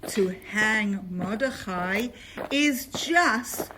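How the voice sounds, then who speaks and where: A woman narrates a children's tale in rhyme. She is expressive and very deliberate, with a medium pitch and lively intonation. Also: background noise. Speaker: puppet show narrator.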